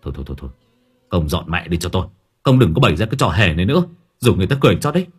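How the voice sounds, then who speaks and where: A man's voice: sits low, sing-song, brisk, a little mellow, husky, soft background music, pitched below the performer's own voice, heavily acted. Man voicing an exasperated, scolding younger brother. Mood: furious. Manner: curt, scolding, repetitive.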